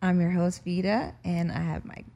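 A woman's voice: on the low side, little pitch movement, normal speed, creaky, mellow. A woman hosting a podcast in casual, conversational style. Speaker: podcast host. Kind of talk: casual chat.

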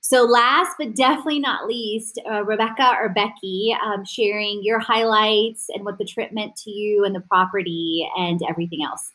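A woman's voice: mid-range voice, steady tone, normal speed, hardly any pauses, bright, forceful tone. A woman hosting a casual group conversation in an affirming, encouraging manner. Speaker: host.

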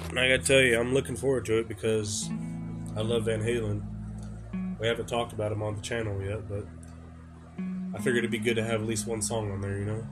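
Male podcast host, stuffed-up and worn out, low monotone, rambling delivery with loud music behind him. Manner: rambling, halting with fillers. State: congested, stuffy nose; exhausted from lack of sleep. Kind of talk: casual song reaction chat. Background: rock music.

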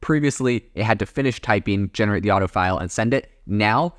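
A man's voice: on the low side, quick pace, no room sound, studio quality. A man hosting a podcast, giving casual explanatory tech news commentary in an informal, loosely worded way. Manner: informal, hedging with frequent "kind of" and "you know". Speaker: podcast host.

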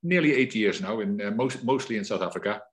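A man's voice: lowish voice, expressive, a little fast. A middle-aged man from the Netherlands with a Dutch accent, talking reflectively as an interview guest, often restarting his sentences. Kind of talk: conversational interview.

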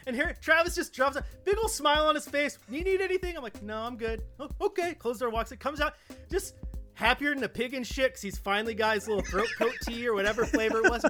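A man telling stories casually on a podcast in an animated way, acting out the dialogue he quotes. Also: extremely high pitch, fast talker, soft background music. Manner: animated storyteller who acts out quoted dialogue. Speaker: podcast co-host.

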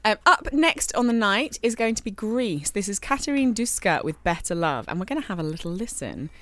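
A woman's voice: medium pitch, animated, fairly quick, fairly bright tone. A woman co-hosting a casual podcast, gushing enthusiastically as she reviews song contest entries. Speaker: podcast co-host.

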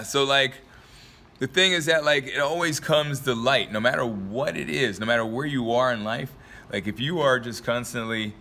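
Young male vlogger, a bit raspy from a dry throat, bright and forceful, loose rambling motivational talk. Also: mid-range voice. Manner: rambling, filler-heavy, laughs often. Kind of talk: casual motivational talk to camera.

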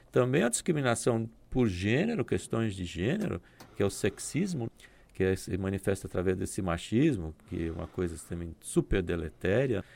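Gravelly-voiced man explaining calmly. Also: on the low side. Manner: measured, explanatory, with hesitant restarts. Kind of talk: discussion program conversation.

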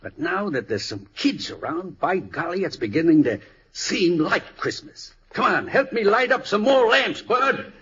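An actor playing a kindly, wistful old country storekeeper with a husky, folksy, halting delivery in an old radio drama. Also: male voice, high voice, sing-song, bright, forceful tone, full character voice. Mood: hearty, welcoming. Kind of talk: 1950s radio drama acting. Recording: old radio broadcast recording.

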